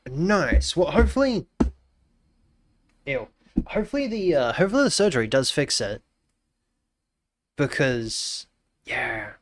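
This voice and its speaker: A man's voice: on the high side, sing-song, measured pace, long pauses. A man in his twenties with a blocked nose, chatting casually and jokingly on a live stream, often trailing off into mumbles. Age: 20s. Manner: joking, greets chat warmly, trails off into mumbles.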